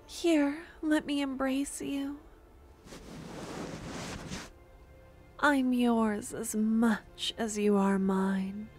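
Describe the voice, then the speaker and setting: A woman voicing an obsessive, lovesick young necromancer stalker in an intimate ASMR roleplay, with a breathy, adoring, possessive murmur that often slips into whispers. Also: high voice, a little mellow, exaggerated voice. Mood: blissful. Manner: adoring, possessive, halting dramatic delivery.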